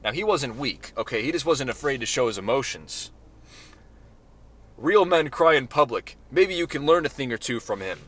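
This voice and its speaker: A man reading his own short story aloud in a deadpan manner, with self-mocking asides. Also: mid-range voice, normal speed, no room sound.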